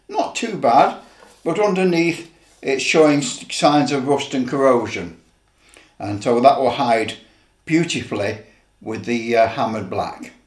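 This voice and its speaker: A man's voice: mid-range voice, a little mellow. Older man, echoey room. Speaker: presenter of a hobby how-to video. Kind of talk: informal demonstration. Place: indoor office room. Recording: roomy echo, background noise and clicks.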